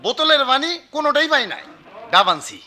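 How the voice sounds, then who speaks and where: High, fast, rustic Bangladeshi errand boy. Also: big pitch swings, pushed and bright, exaggerated voice. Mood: eager, simple. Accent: colloquial Bangladeshi. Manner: deferential, blunt, folksy. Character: simple, eager male office errand boy, rustic and deferential. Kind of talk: 1990s radio play acting.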